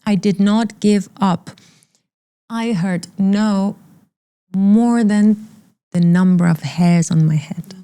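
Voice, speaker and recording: Determined Iranian-born woman with a dark, mellow voice. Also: mid-range voice, takes it slowly, pauses often, clean tone. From Iran. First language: Persian. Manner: determined, speaks with emphatic repetition. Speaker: model, interview guest. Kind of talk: candid interview conversation.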